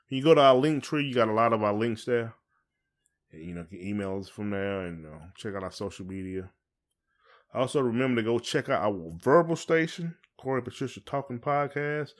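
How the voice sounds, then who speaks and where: A man with a Southern US accent talks as a podcast co-host in casual, everyday conversation. His voice sits at a middling pitch, and his delivery is folksy and joking. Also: fairly quick. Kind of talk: casual chat.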